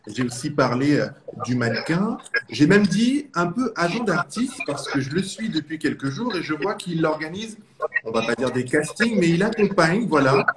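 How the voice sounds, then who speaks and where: A man hosting a live-streamed interview, talking warmly and at length with rambling questions. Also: fairly high pitch, noisy background. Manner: warm, effusive, rambling questions. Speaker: interview host.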